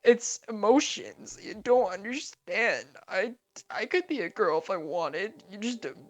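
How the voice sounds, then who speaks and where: A man voicing a clingy, lovesick male ninja teacher in a squeaky, high-pitched voice. The voice is acted within a fan-made storytelling narration. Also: lively intonation, a little slow, no echo. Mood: pleading.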